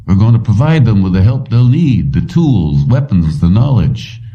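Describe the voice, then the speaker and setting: Man playing defiant older father, audiobook dialogue, very mellow tone, earnest, resolute, muffled distant recording. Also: fairly low pitch, sing-song, moderate pace. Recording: slightly distant, dull lo-fi recording.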